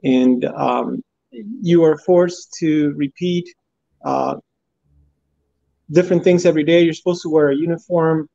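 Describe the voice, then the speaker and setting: A man in his forties from Cuba, a guest answering interview questions in an earnest, steady way over his phone. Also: a little high, unhurried, vocal fry, pauses often. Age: 40s. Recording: dull phone audio.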